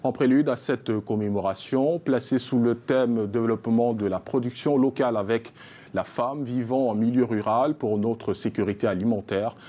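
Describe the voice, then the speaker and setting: A man presenting an evening television news bulletin, reading headlines in a measured newsreader delivery. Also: medium pitch, mellow. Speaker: news anchor.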